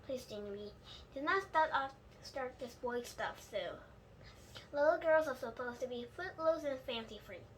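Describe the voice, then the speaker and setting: A woman voicing a patient, gently firm mother in a storybook, explaining things soothingly. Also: sits high, normal speed, a little hiss or hum, lightly acted.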